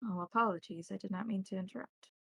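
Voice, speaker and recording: Formal, cryptic vampire elder played by a woman, measured phrasing, gravelly edge, dry close sound. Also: medium pitch, a bit bright, no echo. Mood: polite, calm.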